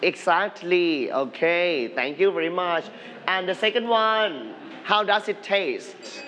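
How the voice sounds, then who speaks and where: A male teacher speaking slowly and deliberately, his voice a little higher than most men's. He repeats each question and has the class say words after him, with a faint room hiss behind him in the studio classroom. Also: animated, bright, forceful tone. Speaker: English teacher. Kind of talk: classroom lesson broadcast on TV.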